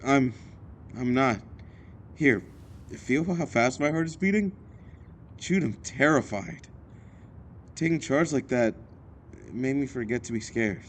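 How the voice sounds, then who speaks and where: A man playing a scared teenage boy who tries to stay calm, hesitant and reassuring, in an audio drama. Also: slow, pauses often. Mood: shaken, reassuring. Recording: faint noise with low rumble.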